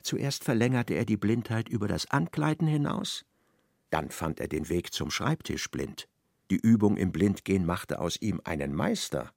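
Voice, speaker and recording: Male radio-play narrator: deep, creaky voice, measured and precise literary reading, very dry sound. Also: animated, darkish tone, no echo.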